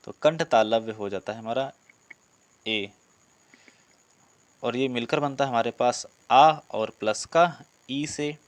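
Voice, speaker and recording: A man speaks as a teacher in a dry recording with little room sound. His voice sits at a medium pitch. He reads out questions, explains the answers and repeats key terms for his students. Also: takes it slowly, long pauses, dry recording. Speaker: Hindi grammar teacher for police exam students. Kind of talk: exam-prep lesson, reading questions and explaining answers.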